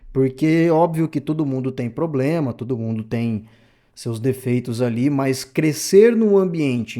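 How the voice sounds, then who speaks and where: A man's voice: mid-range voice, dark tone. A man in his thirties from Brazil, talking in a casual, reflective monologue to his viewers, rambling and correcting himself as he goes. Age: 30s. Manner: rambling, self-correcting, addresses viewers directly. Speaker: opinion channel host.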